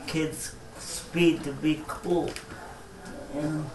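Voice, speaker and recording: A young man with a soft voice and a slight speech impediment, speaking slowly and haltingly with restarts as a guest on a talk show. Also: on the high side, steady tone, background noise. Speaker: guest, care home resident. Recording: echoey room, picked up from a distance.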